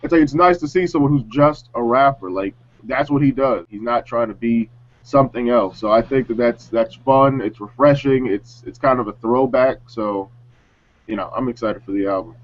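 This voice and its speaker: Mid-pitched man in casual discussion. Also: normal speed, highs cut off. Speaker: co-host. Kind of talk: casual panel chat.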